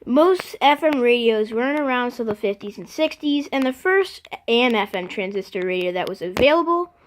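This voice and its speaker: A vlogger casually showing off a collection, speaking unscripted and haltingly, often stopping to clear the throat. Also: medium pitch, lively intonation, low-quality recording. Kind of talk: casual unscripted show-and-tell.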